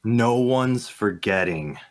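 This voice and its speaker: A mid-pitched man acting as a gruff mercenary henchman. He is blunt, greedy and impatient, in fantasy audio drama style. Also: expressive, takes it slowly.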